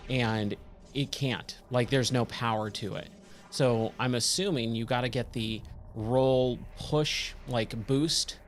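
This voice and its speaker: A man with a slightly hoarse, scratchy voice giving casual, rambling game commentary and thinking aloud as he plays. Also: medium pitch. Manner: rambling, self-questioning. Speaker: game streamer, host of a let's play.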